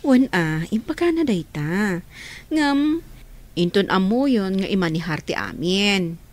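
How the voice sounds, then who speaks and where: Radio drama: an actress playing a reassuring, firm older caretaker, mid-pitched. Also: female voice, animated, unhurried, natural voice.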